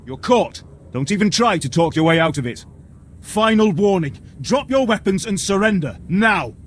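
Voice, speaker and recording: An actor playing a haughty, indignant Imperial military officer in a video game, with a pompous, commanding manner. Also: male voice, sits high, big pitch swings, moderate pace, husky, exaggerated voice. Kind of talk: dramatic video game voice acting.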